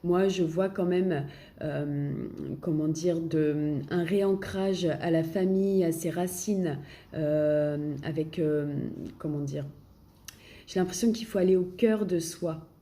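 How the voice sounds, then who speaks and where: A woman's voice: low voice, takes it slowly, mellow. French woman with a cold reading tarot. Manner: hesitant, searching for words with many fillers. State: has a cold. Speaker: tarot card reader. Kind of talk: casual tarot reading to camera. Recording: echoey room, faint background noise.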